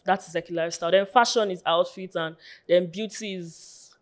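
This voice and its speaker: A woman in her twenties from Lagos with a Nigerian accent, a guest influencer chatting casually in a small studio on a boom mic, full of "like" fillers. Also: steady tone, normal speed. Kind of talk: casual interview chat. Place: small pink-walled studio. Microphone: studio mic on boom arm.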